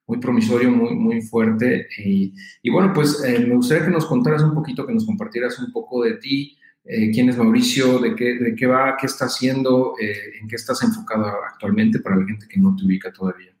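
A man's voice: medium pitch, brisk, a little mellow, roomy sound, rough recording. A man hosting an interview, polite and hesitant, with many fillers. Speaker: podcast host.

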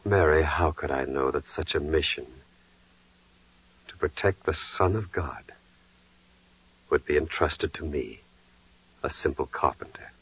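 An actor playing a humble, devout carpenter, earnest and reverent, in the measured style of 1940s radio drama. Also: male voice, very deep voice, fairly bright tone, slightly rough, heavily acted. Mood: earnest, devoted. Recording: dull, echoey vintage radio recording.

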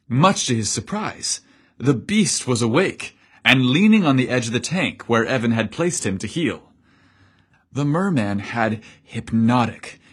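A man narrating a story in a measured, descriptive storytelling manner. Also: mid-range voice, fairly bright tone, husky.

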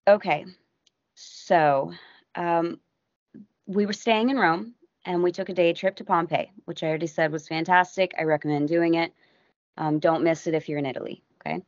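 An American woman, a podcast guest, telling a travel story in a casual, animated way that keeps breaking off and acting out what people said. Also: on the low side, moderate pace, no room sound. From United States. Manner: animated, self-interrupting, acts out quotes. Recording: dull lo-fi audio.

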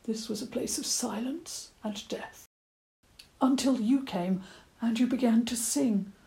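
A woman voicing a gentle, courteous old male badger who stammers a little. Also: on the high side, measured pace, gravelly, voice pushed up, low-quality recording. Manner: stammering, courteous, slightly eccentric.

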